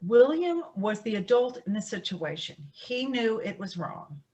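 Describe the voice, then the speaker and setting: Actress doing a coaxing peacemaker lady in a family stage drama, tentative, very lively up n down voice. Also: mid-range voice, a little slow. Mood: tentative, chiding. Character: conciliatory, gently meddling woman, family peacemaker. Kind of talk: staged play reading.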